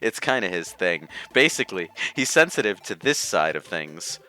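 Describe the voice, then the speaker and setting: A man's voice: deep voice, big pitch swings, moderate pace, bright, forceful tone, faint music behind. A man doing casual, joking commentary on a video game with a group.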